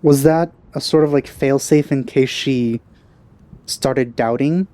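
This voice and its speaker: A man's voice: mid-range voice, slow pace, dark tone. A man giving casual let's play commentary in a musing, understated, tentative way.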